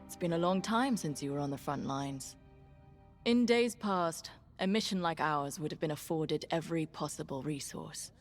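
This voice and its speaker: A woman playing an aloof, well-born Imperial pilot in a video game, speaking in a measured and formal way. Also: deep voice, faint music behind, close to an everyday voice. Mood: aloof, guarded. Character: haughty, well-born female Imperial pilot, politically minded loyalist. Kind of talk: video game dialogue, dramatic acting.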